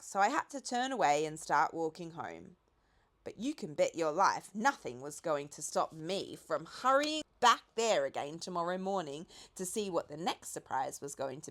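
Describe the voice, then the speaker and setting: Expressive woman reading a children's story. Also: on the low side. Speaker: audiobook reader.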